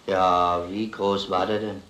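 An actor playing an earnest, methodical police lieutenant, speaking deliberately and plainly in an old crime drama. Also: male voice, low-pitched, flat delivery, fairly bright tone. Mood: patient, sceptical. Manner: deliberate, plain-spoken, addresses children gravely. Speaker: police investigator. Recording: echoey, noisy old film soundtrack.

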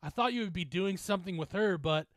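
A man acts out a drunk young bully in a horror story. He is crude and combative, with a raised, loud voice thrown at whoever is in front of him, captured dry and close. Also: high-pitched, fast talker, dry recording, voice pushed up, close to an everyday voice. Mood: drunken taunting. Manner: taunting, aggressive, shouting.

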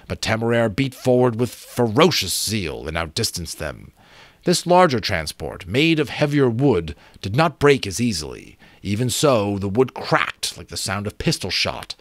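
A man in his thirties or forties giving an expressive, emphatic dramatic reading of an adventure novel. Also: fairly low pitch, dry recording, clean studio sound. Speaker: audiobook reader and channel host. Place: small room at home with bookshelves. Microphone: close desk microphone.

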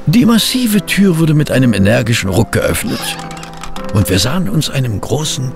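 An actor playing an expressive, fussy gentleman who narrates a detective case in a radio play. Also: mid-range voice, normal speed, creaky, music in the background. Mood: atmospheric narrating. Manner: literary, expressive storytelling.

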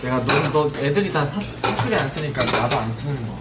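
A man's voice: medium pitch, animated, brisk, recorded from a distance, over loud music. A young man from Busan chatting eagerly with bandmates over a meal in a restaurant. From Busan, South Korea. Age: young adult. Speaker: idol group member. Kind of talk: casual chat over a meal. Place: busy restaurant, indoors. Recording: loud restaurant noise. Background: clattering dishes and cutlery.

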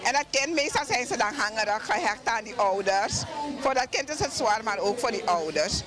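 A woman's voice: fairly high pitch, animated, moderate pace. A middle-aged woman from Suriname, a nurse, answering earnestly into a handheld mic in a busy restaurant. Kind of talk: on-site interview. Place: busy fast-food restaurant, indoors. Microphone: handheld reporter mic. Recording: loud crowd behind.

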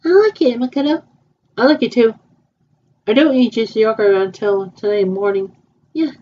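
A woman in her twenties with a fairly high, mellow voice. She talks haltingly, stopping and restarting with fillers. The sound is muffled and lo-fi, like a cheap home recording. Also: a little hiss or hum. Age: 20s. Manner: hesitant, halting, many repeats and fillers. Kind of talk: improvised fan roleplay dialogue.

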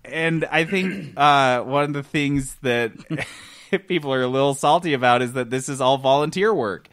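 A man's voice: medium pitch, normal speed, fairly bright tone. A man co-hosting a gaming podcast in casual comic banter, sarcastic and irreverent, swearing freely. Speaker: podcast co-host.

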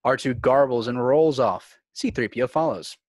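A man's voice: mid-range voice, normal speed, no room sound, clean studio sound. A man narrating screenplay scene headings and action in a scripted read. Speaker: narrator reading stage directions. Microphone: video call.

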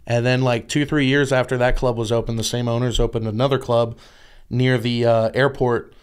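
A young man from Texas, a guest comedian, telling animated stories with quick jokes on a podcast mic. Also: mid-range voice, clean tone. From San Antonio, Texas. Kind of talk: casual podcast chat. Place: small studio room. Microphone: studio mic on arm, headphones.

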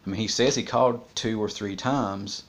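Guy in his 40s rambling to his webcam at home, kinda low voice, stop and start, thinking out loud. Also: steady tone. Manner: halting, thinking aloud. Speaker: vlogger discussing a criminal case. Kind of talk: casual, unscripted monologue. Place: small room at home, indoors.

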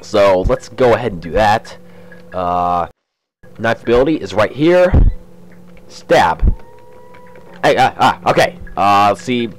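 A young man with a cold and a slightly raspy voice, chatting casually as he narrates a game walkthrough. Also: fairly low pitch, animated, slow, long pauses, rough recording. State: has a cold. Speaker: let's play host. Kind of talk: casual gameplay commentary.

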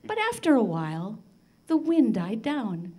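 Older lady storyteller, highish voice, takes her time, super expressive, talking to kids on a handheld mic. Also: husky. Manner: theatrical, expressive, with dramatic pauses and sound effects. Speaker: storyteller on a children's radio show. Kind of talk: live oral storytelling for children. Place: large library room, live before a seated audience. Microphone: handheld microphone.

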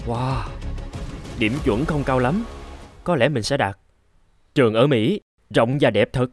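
A man playing a resentful, ambitious teenage son from a poor home in an animated fairy tale, with an accusing, impatient manner. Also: medium pitch, a little slow, soft background music, close to an everyday voice. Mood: musing.

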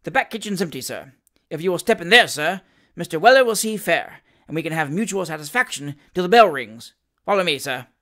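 A man's voice: on the high side, lively intonation, a little fast, a bit bright. A man voicing a pompous, affronted manservant in a storybook, solemn and over-formal, proud of his own eloquence. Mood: solemn challenge.

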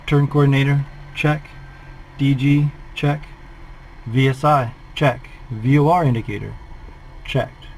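A man's voice: mid-range voice, fairly even intonation, takes it slowly, long pauses, a little hiss or hum, low-quality recording. A flight instructor in his forties, calling out a preflight checklist in a methodical, clipped way from a small plane cockpit on a headset.

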